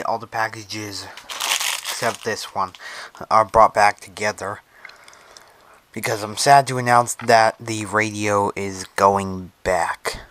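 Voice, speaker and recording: A man's voice: on the low side, sharp tone, a little hiss or hum. A man casually showing off a radio in an unboxing vlog, rambling as he handles it and filling gaps with "so" and "uh". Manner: rambling, talks while handling the device, many "so" and "uh". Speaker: radio collector showing his gear.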